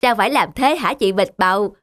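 An actor voicing a good-natured, loyal male ox in an animated fairy tale, speaking in a mild, unbothered manner. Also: medium pitch, brisk, no echo, clean studio sound, close to an everyday voice.

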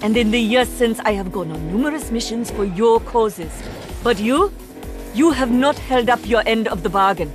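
A woman's voice: a little high, expressive, normal speed, music in the background, natural voice. A woman playing a proud, stern archer agent from India in a video game, speaking formally with dry sarcasm. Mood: indignant. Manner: formal, clipped, sardonic.

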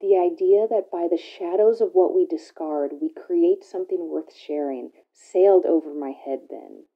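A woman giving a slow, reflective spoken-word reading in a measured, pensive way with pauses. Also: fairly low pitch, lo-fi sound. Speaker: reader of a spoken interlude.

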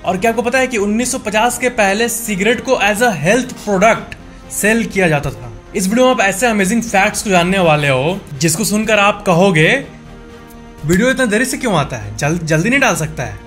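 A man's voice: high-pitched, animated, moderate pace, a bit bright. A young man presents a countdown of facts to camera, explaining emphatically and repeating key points. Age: young adult. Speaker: YouTube host. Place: small indoor office room at a desk. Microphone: desk condenser microphone in front of him. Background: background music.

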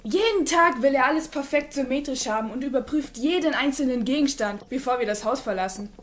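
Woman playing a high-voiced exasperated anime girl. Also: a little fast, slight background noise, natural voice. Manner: exasperated, nagging. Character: exasperated, tired, sensible teenage girl, easily scared. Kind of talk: German fan-dubbed anime audio drama.

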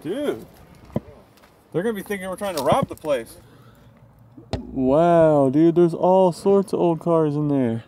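A young man talking casually in a car vlog, outdoors at an old used car lot, getting excited about what he finds. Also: a little high, measured pace, long pauses, a little hiss or hum. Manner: excited, exclaiming. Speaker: car vlogger.

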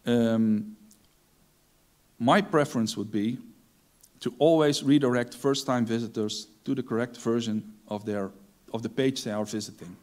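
A middle-aged man, a native Dutch speaker, presents a technical talk from a conference stage. His delivery is halting and thoughtful, full of ums and restarted phrases, at an ordinary mid pitch, through a clip-on mic. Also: a little mellow. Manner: hesitant, many ums and restarts. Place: large dark conference stage.

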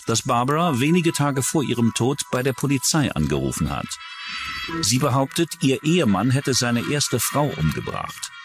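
A man narrating a scripted true-crime documentary as its narrator. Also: mid-range voice. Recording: music bed under the voice. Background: music.

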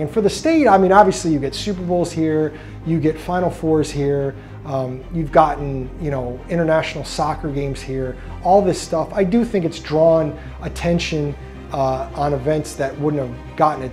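A man's voice: fairly high pitch, moderate pace, a little mellow, faint music behind. A middle-aged man talking conversationally in a documentary interview.

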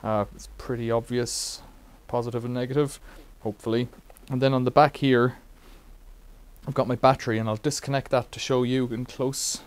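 A man's voice: medium pitch, flat delivery, normal speed, clean tone. A middle-aged man casually demonstrating a bike repair in a cluttered workshop, rambling with wry asides.

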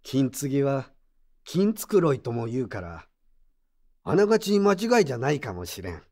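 An actor playing a gruff but kindly old craftsman in an audio drama, with rustic, plain-spoken elderly speech. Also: mid-range voice, sing-song, moderate pace, a little mellow. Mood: calm, kindly. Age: older. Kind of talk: Japanese audio drama acting.

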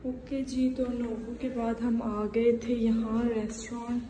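A woman's voice: on the high side, flat delivery, a little slow. A young woman, chatting straight to viewers about food in a casual vlog. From Pakistan. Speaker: food vlogger. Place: busy restaurant and city street. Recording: loud crowd and street noise.

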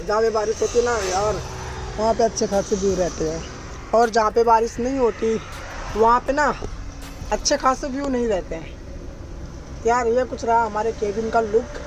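A man's voice: extremely high pitch, normal speed, pauses often, soft background music. A young man who drives trucks, chatting casually to his phone camera from the cab and the roadside, often saying "guys, yaar". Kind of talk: casual vlog narration to camera. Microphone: phone held at arm's length. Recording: noisy roadside phone recording. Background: vehicle and road noise.

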